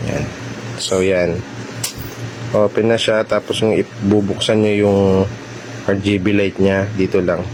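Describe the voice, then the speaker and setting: A man's voice: on the low side, level tone, measured pace, pauses often, noisy background. A man with a Filipino accent narrating a casual product demo vlog, talking informally and directly to viewers. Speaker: vlogger presenting a product installation.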